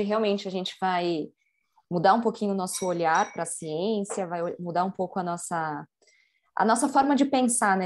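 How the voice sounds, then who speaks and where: A woman's voice: on the low side, a little mellow. A woman co-hosting a podcast who introduces the guest in a casual, slightly hesitant way. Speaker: podcast co-host.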